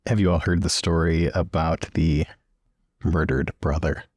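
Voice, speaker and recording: Very deep-voiced man telling improvised tales. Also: fairly even intonation, measured pace, darkish tone, no echo, clean studio sound. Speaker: tabletop role-player. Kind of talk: improvised in-character storytelling, conversational.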